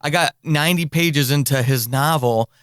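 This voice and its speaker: A man's voice: fairly high pitch, moderate pace, a bit bright, clean tone, dry recording, studio quality. A man hosting a conversational interview podcast, thinking aloud and rephrasing as he goes. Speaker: podcast host.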